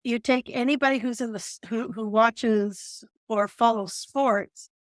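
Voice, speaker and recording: A woman's voice: fairly high pitch, steady tone, measured pace, no echo, clean studio sound. A woman from Calgary with a Western Canadian accent, chatting casually as a podcast co-host. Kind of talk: casual chat.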